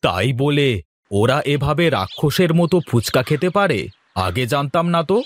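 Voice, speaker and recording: A man voicing a teasing, easily amazed family man in a fairy-tale cartoon, husky and exaggerated. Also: on the high side, very animated, unhurried, slight background noise. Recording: music behind the voice.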